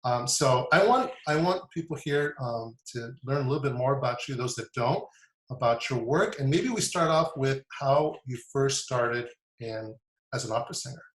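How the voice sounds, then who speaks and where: A man's voice: mid-range voice, animated, normal speed. Guy interviewing, lots of ums and okays, voice bouncing around a roomy space. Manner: frequent ums and short affirmations. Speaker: interviewer and artistic director. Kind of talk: friendly interview host.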